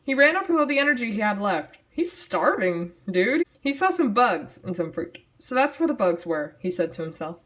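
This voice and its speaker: A woman reads a story aloud at a middling pitch, hamming it up in a mock-dramatic way. Her delivery is theatrical yet casual, with a sardonic, self-mocking edge of commentary on the material. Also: very animated, normal speed, hardly any pauses, fairly bright tone. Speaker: amateur storyteller reading own childhood writing.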